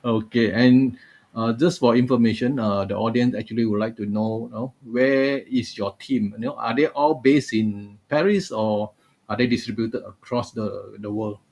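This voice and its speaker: A man's voice: mid-range voice, normal speed, darkish tone. A man hosting a live webinar over a video call, talking loosely and padding his sentences with "uh" and "you know". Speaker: webinar host.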